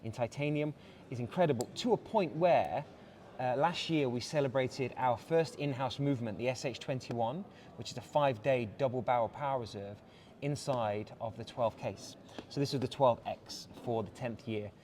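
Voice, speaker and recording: A man's voice: mid-range voice, moderate pace, darkish tone, a little hiss or hum. A man with an English accent, a brand director giving an enthusiastic, polished product walkthrough with filler words. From England. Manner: enthusiastic, polished salesman patter with frequent "uh" fillers. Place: busy watch showroom event.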